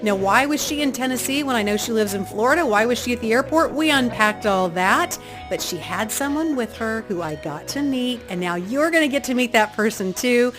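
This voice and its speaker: A woman's voice: on the high side, expressive, fairly quick, few pauses, over music. A middle-aged woman hosting a faith podcast, talking in a chatty, easygoing way and laughing often. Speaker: podcast host. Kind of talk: casual interview chat.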